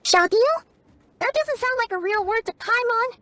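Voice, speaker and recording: A woman voicing a tiny, excitable, childlike fairy companion in a video game, with a squeaky voice and naive, exclamatory manner. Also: moderate pace, no room sound. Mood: skeptical. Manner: exclamatory, naive, refers to self in third person. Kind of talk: animated video game voice acting.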